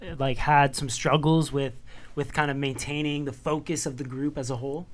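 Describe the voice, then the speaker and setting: A man hosting an interview podcast, asking questions in a relaxed, slangy way. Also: medium pitch, moderate pace. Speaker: podcast host. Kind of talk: casual interview.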